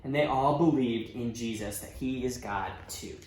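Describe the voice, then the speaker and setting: A man's voice: medium pitch, moderate pace, a little mellow. A man reading a storybook aloud to children, expressively, with dramatic emphasis and asides to his young listeners. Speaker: church children's storybook reader. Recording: roomy echo, faint background noise.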